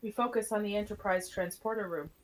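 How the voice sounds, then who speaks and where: A woman's voice: medium pitch, a little fast, low-quality recording. A woman reading scripted stage directions as narrator of an amateur radio play.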